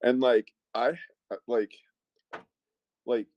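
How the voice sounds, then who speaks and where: A man's voice: mid-range voice, level tone, slow, highs cut off, background noise. A young man in a casual voice chat, rambling and filling his speech with "like". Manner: rambling, heavy use of "like". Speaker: chat participant.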